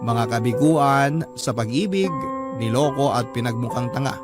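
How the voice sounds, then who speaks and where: A man's voice: medium pitch, moderate pace, music in the background, natural voice. An actor narrating as a lovelorn man confessing a forbidden love in an earnest, respectful letter for radio drama. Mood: reflective, earnest.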